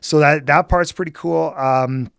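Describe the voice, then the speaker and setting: A man's voice: a little high, fairly even intonation, unhurried, gravelly, fairly bright tone, smooth, no echo, clean studio sound. A middle-aged man from Edmonton, Canada, chatting casually and enthusiastically as an interview guest, often adding "man" and "right?". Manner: easygoing, enthusiastic, peppers speech with "man" and "right?". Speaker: guest, former basketball team executive.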